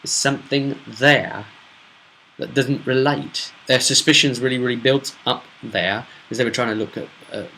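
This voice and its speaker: A man's voice: medium pitch, fairly even intonation, normal speed, bright, forceful tone, slight background noise, poor audio. A man casually recapping a tabletop role-playing campaign as game master, chatty, with emphatic asides. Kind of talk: casual solo recap narration.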